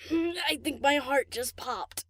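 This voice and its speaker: A woman's voice: sits high, takes it slowly, dry recording. A woman acting a cheeky, teasing young DJ pony in a fan audio drama, flippant and casual.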